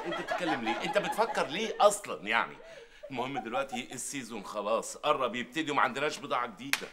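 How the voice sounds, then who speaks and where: A man's voice: medium pitch, animated, rapid-fire, crowd noise, background noise, close to an everyday voice. A man playing a bossy, scolding shop owner with an Egyptian accent. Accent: Egyptian Arabic. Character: hot-tempered male shop boss, bossy.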